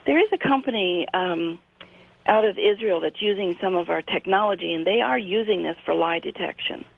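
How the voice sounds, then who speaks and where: A woman's voice: on the low side, moderate pace, creaky. A woman in her sixties, a radio guest, explaining things patiently with frequent hesitations over a phone line. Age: 60s.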